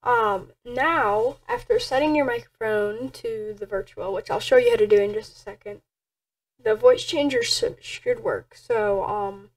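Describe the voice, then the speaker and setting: A woman with a high-pitched voice walks through a piece of software as a tutorial presenter. She talks casually and haltingly, feeling out each step as she goes. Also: low-quality recording.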